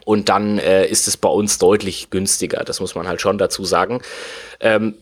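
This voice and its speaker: A man's voice: low-pitched, steady tone, normal speed, slightly rough. A man, explaining at length in a casual podcast chat, full of fillers and hedges. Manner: rambling, many fillers and hedges. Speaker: guest explaining game industry history.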